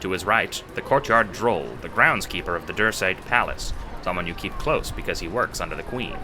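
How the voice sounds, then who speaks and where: A man's voice: deep voice, lively intonation, moderate pace, music in the background. A man narrating a scripted audio drama in the second person, with dramatic storytelling delivery.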